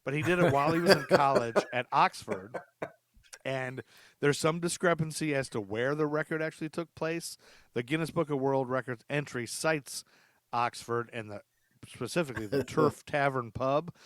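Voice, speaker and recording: A man's voice: medium pitch, no echo. A man hosting a casual history podcast, rambling through a story and laughing often. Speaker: podcast host.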